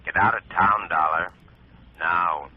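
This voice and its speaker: An actor playing a smug, crooked small-town boss who talks slowly and curtly with veiled threats in a 1950s radio drama. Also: male voice, deep voice, steady tone, no room sound. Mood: veiled menace. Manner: slow, curt, veiled threats. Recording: muffled old radio recording, noisy.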